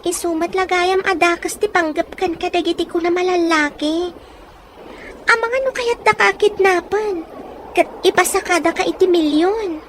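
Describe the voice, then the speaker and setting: A woman's voice: very high voice, steady tone, normal speed. A woman playing a poor, resilient young orphan in an audio drama, plain-spoken and caring, with a northern Philippine accent. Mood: teasing, concerned. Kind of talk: acted audio drama dialogue. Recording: faint background noise.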